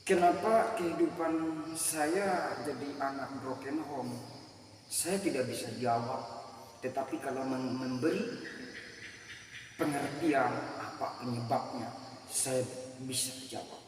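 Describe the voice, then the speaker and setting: Young man from Central Kalimantan, earnest testimony, slow, in a reverberant hall with faint noise. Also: medium pitch. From Central Kalimantan, Indonesia. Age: 20s. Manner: halting, earnest, in short phrases. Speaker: speaker giving a personal testimony. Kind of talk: religious testimony to a congregation. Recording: very echoey hall, mic far off, faint noise.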